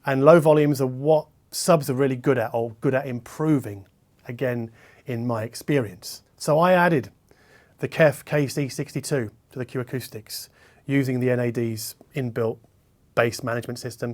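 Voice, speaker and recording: Guy doing a chatty hifi review, medium pitch, thinks out loud and stresses the big words. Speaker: host reviewing audio gear. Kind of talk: conversational product review to camera. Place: smallish listening room.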